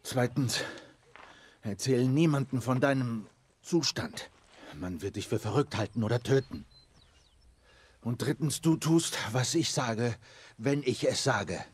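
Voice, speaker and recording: A man playing a gruff, stern middle-aged survivor in a video game dub, terse and commanding. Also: mid-range voice, animated, measured pace, pauses often. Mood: stern, guarded. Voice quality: gruff, husky.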